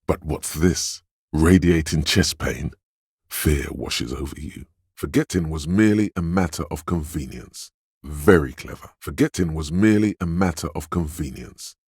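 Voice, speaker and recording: A man voicing a suave, wry inner voice of a detective in a video game, narrating in a measured, knowing way. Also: very deep voice, expressive, a little mellow, breathy edge, no room sound, clean studio sound, full character voice. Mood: cool, deliberate. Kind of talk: second-person game narration.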